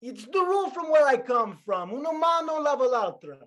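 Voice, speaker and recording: An actor's flamboyant Italian movie director, Italian accent, broken English, pleading and theatrical, very animated pitch, comedy table read. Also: fairly quick. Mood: grandiose, instructive.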